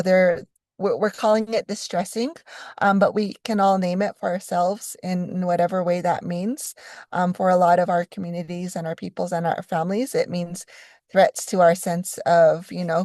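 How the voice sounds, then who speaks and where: A middle-aged woman from New Mexico delivers a conference keynote in a fairly low voice. She talks in an unhurried, reflective way, often pausing on "um" and restarting phrases. The sound is dry, with little room echo. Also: darkish tone. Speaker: keynote speaker, professor.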